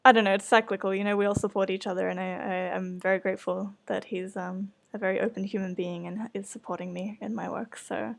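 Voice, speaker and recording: A woman's voice: medium pitch, vocal fry. A woman podcast host chatting casually in an earnest, hesitant, self-conscious way. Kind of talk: casual chat.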